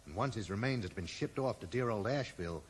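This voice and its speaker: An actor playing a dry, sarcastic amateur sleuth who probes and accuses in a 1980s radio drama. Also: male voice, lowish voice, animated, quick pace, natural voice. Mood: probing, sardonic. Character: sardonic, probing male amateur sleuth confronting an old friend. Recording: echoey, noisy old radio broadcast recording.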